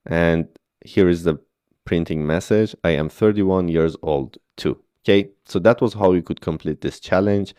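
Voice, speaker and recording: Deep-voiced man teaching code patiently. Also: moderate pace, warm tone, clean tone, no room sound, studio quality. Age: 30s. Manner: patient step-by-step explaining with frequent "okay?" checks. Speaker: programming instructor. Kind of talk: screen-recorded coding tutorial.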